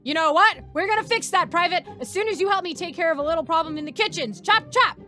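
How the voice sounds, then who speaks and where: A woman voicing a loud, bloodthirsty male sergeant in a space army who barks orders. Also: very high voice, quick pace, faint music behind, pitched above the performer's own voice. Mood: barking. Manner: barking drill-sergeant shouts.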